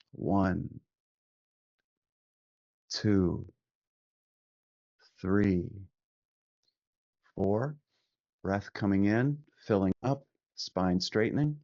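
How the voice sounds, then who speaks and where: Slow, low-voiced man guiding meditation. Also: no room sound, lo-fi sound. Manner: soothing, unhurried, gentle instruction with long pauses. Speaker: meditation teacher. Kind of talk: guided meditation.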